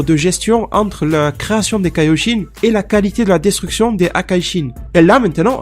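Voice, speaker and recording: A man's voice: sits high, a little mellow. A young man with a blocked, stuffy nose from a cold, chatting energetically to his viewers as a fan commentator. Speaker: YouTuber. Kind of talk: casual fan commentary vlog.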